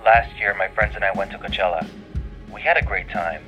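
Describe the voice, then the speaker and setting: A man's voice: sits low, brisk, sharp tone. A man playing a casual, hesitant young concertgoer calling in on an audio drama. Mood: casual, uncertain. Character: laid-back young male concertgoer, unsure witness. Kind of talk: audio drama caller monologue. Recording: muffled call under music.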